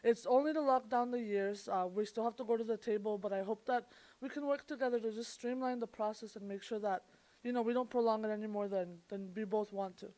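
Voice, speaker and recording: Higher-voiced woman speaking casually. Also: a little fast, warm tone, rough recording. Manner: casual, many fillers. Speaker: labor relations representative. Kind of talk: public comment.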